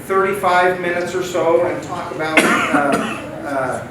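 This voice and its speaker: A man introducing a church lesson, earnest and halting, often repeating his words. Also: high-pitched. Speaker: bishopric member leading a church lesson. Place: church meeting room. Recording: echoey hall, distant mic, noisy.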